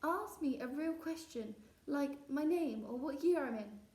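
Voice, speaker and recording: Distant roomy sound, woman acting as prim little boy, high, whiny, goody-goody, storybook character. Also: expressive, unhurried, a little mellow. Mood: wailing. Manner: earnest, easily upset, tell-tale. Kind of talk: children's storybook reading. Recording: roomy echo, distant mic.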